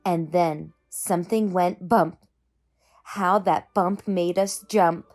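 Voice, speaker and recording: A woman's voice: on the low side, slow pace, soft background music. A woman hosting a story time, speaking expressively and emphatically to young children. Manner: animated, emphatic, addressing children. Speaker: host reading children's stories.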